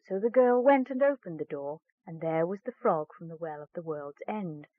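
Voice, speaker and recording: A woman's voice: lowish voice, expressive, normal speed, no echo. A woman reading a fairy tale aloud as a storyteller, in scripted narration. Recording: narrow, phone-like band.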